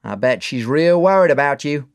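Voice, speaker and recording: A man's voice: on the high side, expressive, normal speed, clean tone, voice pushed up. A man doing a brash streetwise bar owner. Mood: gentle. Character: bar owner, adult man, rough but kind.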